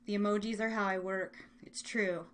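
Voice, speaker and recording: A woman's voice: medium pitch, level tone, normal speed, a bit bright. A woman hosting a live music show, chatting casually and informally with her listeners. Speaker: radio show host.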